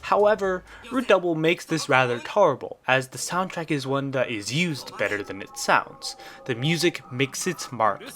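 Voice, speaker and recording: A man with a mid-pitched voice narrates a scripted review. He explains things in a measured, orderly way and states his opinions plainly, as a video reviewer does. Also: expressive, fairly bright tone.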